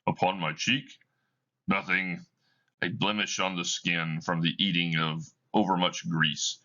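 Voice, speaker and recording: A man's voice: low-pitched, flat delivery, measured pace, sharp tone, muffled recording, voice pushed down. A man reading an old remorseful miser. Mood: pleading. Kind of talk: classroom read-aloud of a play.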